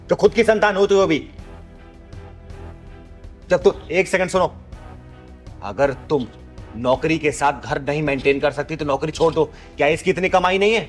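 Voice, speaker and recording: A man's voice: fairly high pitch, close to an everyday voice. A man playing a stern, motherly kinnar elder sister who lectures and commands in a Hindi moral drama. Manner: preachy, commanding, rhetorical questions. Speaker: lead performer in moral drama sketch.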